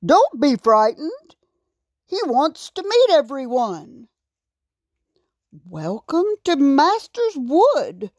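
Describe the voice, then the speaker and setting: Lady doing a storybook animal king, male, loud and commanding, lifted pitch, very animated. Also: slow, no echo, clean studio sound, heavily acted. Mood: reassuring. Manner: loud, proclaiming.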